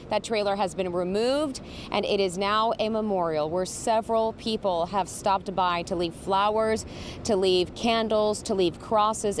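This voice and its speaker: Woman news reporter doing a live standup outside, steady and measured, a bit of traffic hiss behind. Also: mid-range voice. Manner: steady and measured, briefing viewers on the latest.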